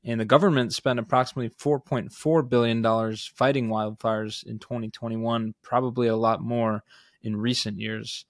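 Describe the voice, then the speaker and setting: A man co-hosting a podcast, discussing startup news in a measured, analytical, semi-scripted manner. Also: mid-range voice, steady tone, dry recording, clean studio sound. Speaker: podcast co-host.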